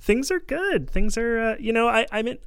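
A man's voice: very high voice, expressive, normal speed, a bit bright, clean tone, no room sound. A man hosting a podcast from a studio, chatty and friendly, with many filler words. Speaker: podcast host. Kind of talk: casual chat. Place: home studio.

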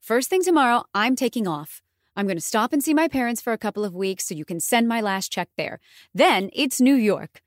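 A woman's voice: on the high side, lively intonation, a little fast, no echo, studio quality, natural voice. Woman acting an indignant, theatrical young actress. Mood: desperate, determined. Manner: dramatic, indignant, emphatic. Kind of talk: audiobook dialogue acting.

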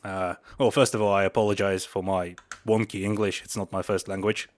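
Young man, native Mongolian speaker, lowish voice; casual, halting podcast host. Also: flat delivery. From Buryatia, Russia. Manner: rambling, many fillers and restarts.

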